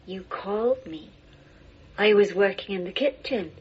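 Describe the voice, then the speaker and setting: Slow-spoken, husky young servant girl. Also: medium pitch, lively intonation, dull audio. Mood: playful, eager. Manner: deferential, slow, coquettish. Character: fifteen-year-old 18th-century servant girl, devoted, coy, entranced. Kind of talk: radio drama acting.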